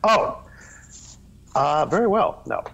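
A man telling stories as the guest in a casual interview, animated and rambling, often restarting his sentences; the audio is slightly dull. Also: on the high side, slow pace. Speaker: guest, children's TV performer.

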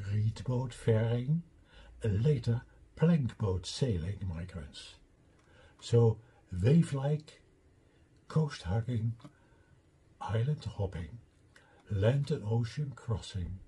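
An older man with a Dutch accent, a native Dutch speaker, giving a deliberate slide lecture and explaining his own theory step by step. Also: lowish voice, dark tone, slight background noise.

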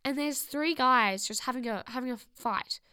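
Giggly high-voiced woman co-host. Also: normal speed, bright, forceful tone, clear, resonant tone, dry recording, clean studio sound. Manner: teasing, giggly, setting scenes. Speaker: podcast co-host. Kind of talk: casual chat and storytelling.

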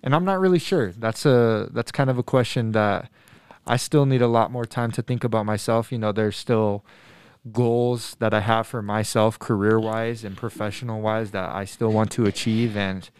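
Young man in his twenties, from Denver, math teacher, lowish voice, smooth and resonant, thoughtful, pauses with ums. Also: darkish tone, no room sound. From Denver, USA. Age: 20s. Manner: thoughtful, earnest, pauses with frequent ums and restarts. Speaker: middle school math teacher. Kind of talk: casual interview answers to students.

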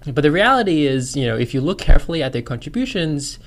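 A man's voice: fairly high pitch, very animated, quick pace. An Australian-accented man in his thirties, a university lecturer guesting on a podcast. He talks in an easy, chatty way and often jokes about himself. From China. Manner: chatty, self-deprecating, laughs easily. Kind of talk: casual podcast interview.

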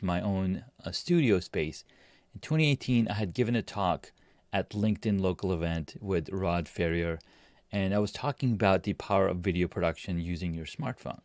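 A man in his forties from Pakistan, a podcast guest, telling his story in a relaxed way with many fillers and restarts. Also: on the low side, dry recording, clean studio sound. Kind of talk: casual interview talk.

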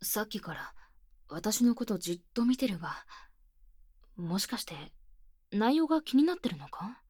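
A woman voicing an earnest, bashful high-school girl with stiff, boyish phrasing in an intimate binaural ASMR voice drama, close to the microphone. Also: sing-song, a little mellow, husky, dry recording. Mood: embarrassed, reluctant. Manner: stiff, boyish phrasing, shy and halting, often dropping to a whisper.

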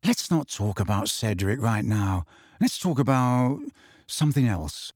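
A man playing a flustered teenage boy who speaks haltingly and awkwardly. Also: on the low side, animated, darkish tone, husky, no room sound, clean studio sound. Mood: pleading. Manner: halting, hesitant.

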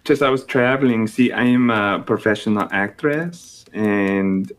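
A man's voice: lowish voice, a little slow, lightly acted. A man playing a vain, tipsy female actress with a put-on Colombian Spanish accent in an improvised comedy bit. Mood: haughty tipsy. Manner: haughty, blunt, rambling.

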